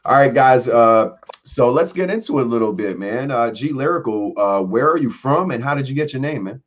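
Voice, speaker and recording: A man hosting a casual live show, joking and slangy, shouting out the chat. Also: mid-range voice, animated, fairly quick, highs cut off. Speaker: host.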